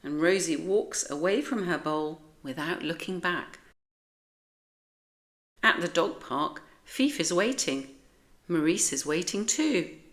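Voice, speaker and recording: A British woman reads a children's story aloud at an unhurried pace. Her voice is on the low side and very lively in intonation, shaped for young listeners. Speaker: storybook narrator. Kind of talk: expressive read-aloud for children.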